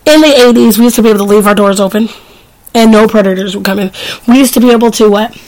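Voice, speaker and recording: A woman's voice: mid-range voice, fast talker, darkish tone, poor audio. A woman in her forties, sick and losing her voice, co-hosting a casual podcast chat in bursts of emphatic talk. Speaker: podcast co-host.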